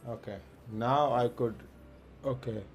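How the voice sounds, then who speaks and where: Slow, hesitant man thinking aloud. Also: mid-range voice, steady tone. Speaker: live streamer. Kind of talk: casual gameplay commentary. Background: faint game music.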